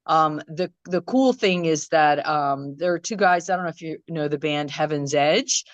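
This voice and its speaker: A woman musician from Croatia, answering interview questions in a casual chat, thoughtful and rambling with many pauses and restarts. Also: sits low, no echo, muffled recording. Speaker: musician guest. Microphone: video call.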